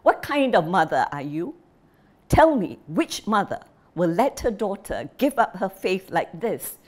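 A woman voicing a bitter, furious old father who shouts and scolds his family. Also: mid-range voice, very animated, a little slow. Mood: indignant. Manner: shouting, scolding, jabbing repetition.

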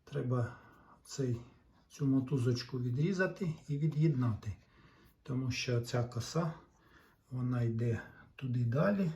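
A man giving a casual hands-on repair walkthrough, halting and thinking aloud in short phrases. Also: medium pitch, dark tone. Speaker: hobbyist repairer giving a demonstration.

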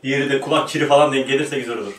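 A man's voice: medium pitch, steady tone, racing. A man in his thirties bantering casually as a quiz show host, teasing and exclaiming, at a table in a small studio. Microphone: shared table microphone. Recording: roomy, distant mic, faint noise.

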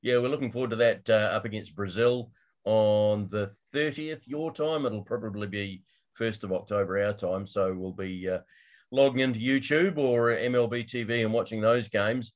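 A man's voice: on the low side, moderate pace. Man hosting a sports podcast. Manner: friendly, questioning. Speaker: podcast host. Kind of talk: casual interview on a video call.

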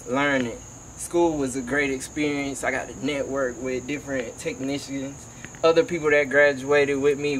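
A man's voice: a little high, a little hiss or hum, poor audio. A young man from Georgia, talking casually and emphatically in slang, like a motivational vlogger recording outdoors. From Georgia, United States. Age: young adult. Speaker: HVAC technician and vlogger. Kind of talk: casual motivational vlog talk. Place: outdoors by a house.

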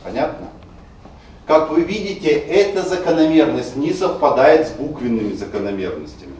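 A man's voice: on the high side, big pitch swings, moderate pace, darkish tone. A man teaching a class, explaining pronunciation rules and sounding words out to demonstrate. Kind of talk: screencast lecture on Russian stress. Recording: very echoey room, distant mic, faint noise.

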